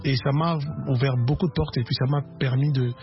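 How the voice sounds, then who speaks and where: Congolese musician, halting radio chat. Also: male voice, mid-range voice, dull audio, faint music behind. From Congo (Kinshasa). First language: Lingala. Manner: relaxed, halting, many repeated words. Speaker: musician guest. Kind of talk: radio interview.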